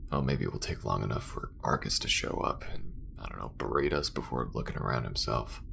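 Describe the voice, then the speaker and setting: A man playing a casual, protective spacefaring crewmate in an ASMR sci-fi roleplay, hushed, unhurried and musing. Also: very deep voice, animated, vocal fry, a little hiss or hum, heavily acted. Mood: casual, pensive.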